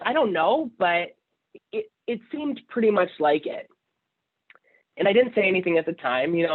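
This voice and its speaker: A young man with a higher-pitched voice, telling a candid, wry story as a panelist on a video call, chatty and full of fillers. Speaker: alumni panelist. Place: home office. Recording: phone-like video call audio.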